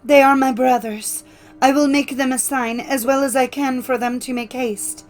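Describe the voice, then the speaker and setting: Fairy-tale heroine, played by a female reader: young wife in mortal fear, high voice, dramatic, imploring delivery. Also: steady tone, normal speed, soft background music, natural voice. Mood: anxious hope.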